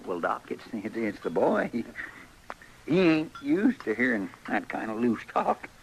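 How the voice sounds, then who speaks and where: A man's voice: medium pitch, very animated, a little slow, vocal fry. A man acting a fussy, good-natured marshal's helper in an old radio western, talking in a folksy, earnest way with a rural American drawl. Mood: hesitant. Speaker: radio drama actor. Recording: noisy vintage radio recording.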